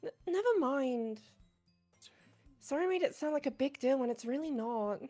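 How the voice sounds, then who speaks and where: A woman's voice: high voice, animated, normal speed, dark tone, faint music behind. A woman voicing a timid, bookish teenage girl who hesitates and stammers. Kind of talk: fan voice acting of a visual novel.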